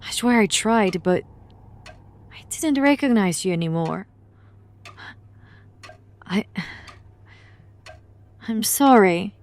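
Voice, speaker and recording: A woman playing a frightened, guilt-ridden daughter in a horror audio drama, with a halting, emotionally raw delivery. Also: mid-range voice, lively intonation, a little mellow. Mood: guilty, pleading.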